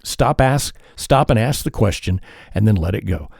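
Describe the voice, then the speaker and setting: Low, mellow-voiced man hosting a podcast. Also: creaky, slightly rough, no room sound, clean studio sound. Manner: calm and measured, with pauses and self-corrections. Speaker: podcast host. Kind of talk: reflective solo podcast monologue.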